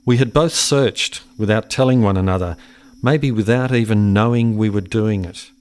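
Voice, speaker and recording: Actor as a low-voiced, haunted farmer's son. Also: male voice, normal speed, a little mellow, smooth, close to an everyday voice. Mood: solemn, haunted. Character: haunted farmer's son recalling a buried secret. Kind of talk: solemn radio play monologue.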